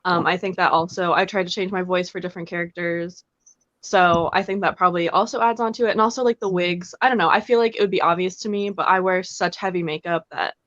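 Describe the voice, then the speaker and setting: Young woman, guest, low voice, hesitant with fillers, dull dry call audio. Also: fairly even intonation, quick pace, a bit bright, dry recording. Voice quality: deep voice. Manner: hesitant, many ums and likes. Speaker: guest, professional character performer. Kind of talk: casual interview chat.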